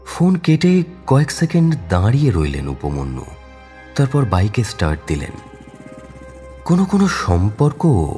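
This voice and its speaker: A man's voice: lowish voice, dark tone. A man narrating a crime audio story in a dramatic, scripted style.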